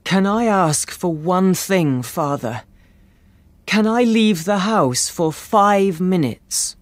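Man doing defiant young son in a storybook, indignant, raised voice, slow delivery, dry close sound. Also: high voice, expressive, dry recording, voice pushed up. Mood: pleading.

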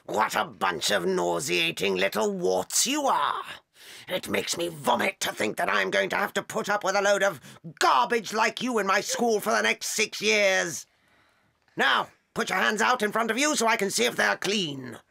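A sharp, forceful headmistress, with a very gravelly voice. She barks and sneers like a born bully, browbeating everyone in this audiobook drama. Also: medium pitch, normal speed, full character voice. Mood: menacing disgust. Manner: barking, contemptuous, browbeating.

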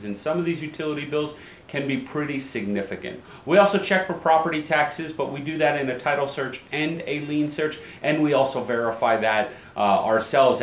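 Man in his forties, office host explaining to camera, mid-range voice, roomy echo, faint hum. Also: mid-range voice. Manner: brisk, plain-spoken, repeats key phrases. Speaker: title company owner, show host. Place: office at a desk.

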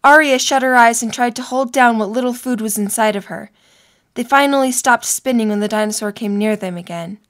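A woman's voice: on the high side, brisk, a bit bright. A woman narrating a scripted story for an audio drama.

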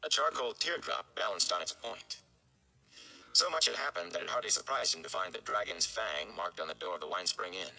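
A man's voice: low voice, lively intonation, a little fast, vocal fry, bright, forceful tone, smooth, low-quality recording. A man, narrating a story from a script in a dramatic style. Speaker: audiobook-style reader. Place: small indoor room.

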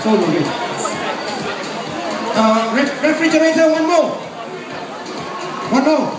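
A middle-aged man with a Malaysian accent doing loud, bantering, repetitive live sales patter as an auctioneer. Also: extremely high pitch, expressive, slow pace, far from the mic, loud music behind the voice. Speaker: sale auctioneer and emcee. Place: large open warehouse with a crowd. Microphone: handheld mic over PA, filmed from the audience. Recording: echoey, noisy PA recorded from the crowd. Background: crowd noise.

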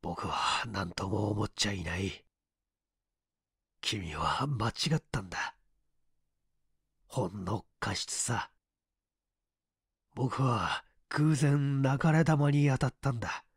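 A man voicing a weak, gentle dying man with a hoarse, breathy voice in a story reading. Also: lowish voice, dry recording, clean studio sound, voice pushed down, full character voice. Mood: forgiving, faint. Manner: gasping, halting, faint.

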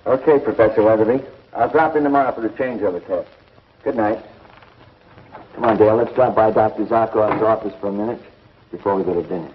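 A man's voice: fairly low pitch, fairly quick, a little hiss or hum, natural voice. Muffled 1950s serial space hero, played by a man. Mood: confident, reassuring. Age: young adult. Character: bold young space pilot hero, confident and eager. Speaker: actor in a science fiction TV serial. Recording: muffled old film soundtrack with music.

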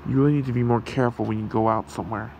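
A man voicing a gentle, protective fox creature in an ASMR roleplay, with a soothing, halting, gravelly delivery. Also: medium pitch, steady tone, a little hiss or hum, lightly acted. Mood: caring. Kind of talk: ASMR roleplay, soft comforting talk.

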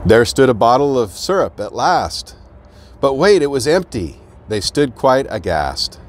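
A middle-aged American man reading a children's rhyming storybook aloud in an expressive storytelling manner. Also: mid-range voice, measured pace, a little hiss or hum. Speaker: fire chief, guest reader. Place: outdoors beside an open fire truck.

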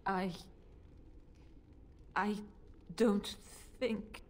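A woman's voice: medium pitch, fairly even intonation, very slow, a little mellow. A woman playing an anguished, defensive noble mother with a pleading, dramatic manner in a fantasy game. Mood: distraught, pleading.

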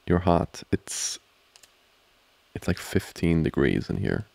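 A man performing a soft ASMR boyfriend roleplay, speaking in a halting, flustered way close to the microphone. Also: low voice, sing-song, vocal fry, no room sound. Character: flustered, distracted studying boyfriend, teasing and affectionate. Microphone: close microphone, dry.

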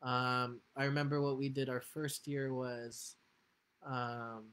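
A young man chats as a guest over a video call from home. He speaks in a relaxed way, leaning on filler words like "like" and "you know.". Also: medium pitch, slow, smooth. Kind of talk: casual chat. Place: home room.

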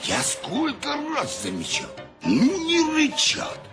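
An actor playing a brash, sceptical sailor in a radio play, heckling in a blunt, colloquial way. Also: male voice, very high-pitched, wildly swooping pitch, slow pace, music in the background, heavily acted. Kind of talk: Russian radio play acting.

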